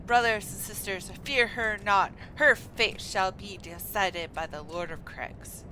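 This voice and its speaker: A zealous kobold priest played by a woman declaims against intruders in fantasy game style. The delivery is accusing and chant-like, at a middle pitch, over clearly audible noise. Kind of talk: dramatic read-aloud of fantasy game dialogue. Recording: noisy room, steady background noise.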